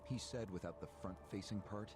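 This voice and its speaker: A man playing a calculating, cynical political operative in a video game, measured and smug as he explains. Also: fairly low pitch, lightly acted. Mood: smug, explaining. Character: calculating, cynical male political operative recounting a grand scheme. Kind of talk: English video game voice acting. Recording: game audio with music behind. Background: game music.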